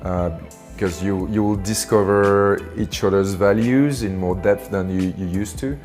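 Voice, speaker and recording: A man giving an unscripted personal testimonial in a halting way, searching for his words. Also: on the low side, fairly even intonation, a little mellow, soft background music. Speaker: workshop participant giving a testimonial.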